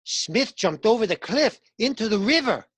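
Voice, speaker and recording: A woman's voice: a little high, animated, normal speed, husky, no room sound. An actress in a radio play, playing a shaken, grave woman, earnest and dramatic in her questioning. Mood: grave, pressing.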